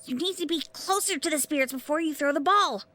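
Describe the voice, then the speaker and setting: An actress playing a smart, sassy, teasing tech pixie sidekick in an audio drama. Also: female voice, high voice, fast, sharp tone. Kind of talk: scripted audio drama acting.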